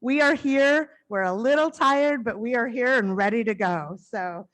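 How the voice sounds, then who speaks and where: Earnest loud-voiced woman speaking at a conference. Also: fairly high pitch, rough recording. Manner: earnest, heartfelt, talks in quick bursts. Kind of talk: conference keynote address. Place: conference venue stage. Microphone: stage microphone.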